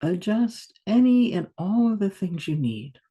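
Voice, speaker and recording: A woman meditation teacher guiding a yoga nidra practice in a soft, soothing, unhurried manner with long pauses. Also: medium pitch, big pitch swings, breathy edge, lo-fi sound.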